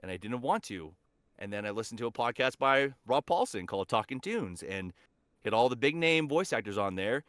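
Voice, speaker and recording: A man's voice: mid-range voice, expressive, fairly quick, a bit bright, clean tone, no room sound, clean studio sound. A man chatting casually as a voice actor guest on a podcast, speaking in an enthusiastic, earnest way.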